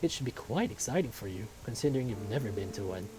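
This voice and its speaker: A man voicing a kindly, refined king in a visual novel, speaking in a gracious, formal, measured way. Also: lowish voice, animated, music in the background, natural voice, low-quality recording. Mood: gracious, pleased. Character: kindly, refined wise king of a fantasy realm.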